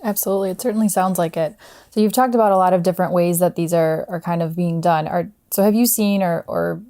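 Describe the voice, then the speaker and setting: A woman hosting a podcast asks her questions in a friendly, hedging way, often restarting mid-sentence. Her voice is lowish and mellow, with a gravelly edge. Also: fast. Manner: friendly, searching for words with hedged questions. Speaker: podcast host. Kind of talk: conversational podcast interview.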